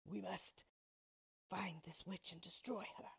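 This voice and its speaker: A woman's voice: fairly low pitch, fairly even intonation, takes it slowly, creaky, breathy edge, exaggerated voice. A woman voicing a timid but willing male lion. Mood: earnest.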